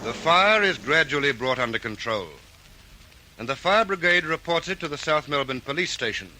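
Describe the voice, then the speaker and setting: A man's voice: a little high, pushed and bright, slight background noise, poor audio. A man narrating a vintage police radio drama in a dramatic, portentous, scripted announcer style. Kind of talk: old-time radio crime narration, scripted and declamatory. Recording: vintage radio broadcast with music underneath. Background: dramatic music.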